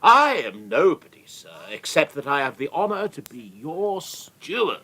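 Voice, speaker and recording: A man's voice: on the high side, very animated, measured pace, sharp tone, heavily acted. A man playing a servile, put-upon castle steward in a radio play, obsequious, flustered and pleading. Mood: servile, nervous. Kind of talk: theatrical radio play acting.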